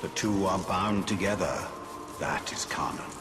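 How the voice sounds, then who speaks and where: A man playing an ancient, solemn elven wraith lord in a video game cutscene, speaking in a formal, grave, measured way. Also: deep voice. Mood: grave, commanding.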